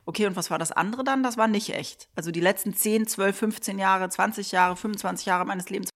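A woman in a casual discussion, expressive, acting out bits of imagined back-and-forth dialogue. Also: medium pitch, a little fast.

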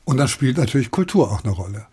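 A man's voice: medium pitch, big pitch swings, quick pace, darkish tone, slightly rough. A man hosting a radio discussion, asking questions and introducing clips. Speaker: interview host.

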